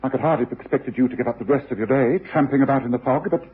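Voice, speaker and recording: An actor playing a shrewd, secretive British gentleman detective with a brisk, authoritative manner in old radio drama style. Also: mid-range voice, breathy edge. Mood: wry, composed. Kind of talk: 1950s-60s radio drama acting. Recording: muffled, noisy old radio recording.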